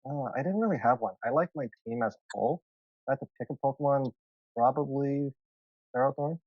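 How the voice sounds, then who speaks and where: Canadian man, medium pitch, laid-back casual chat, noncommittal, dull lo-fi call audio. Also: a little fast, no room sound. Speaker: game writer, panel guest.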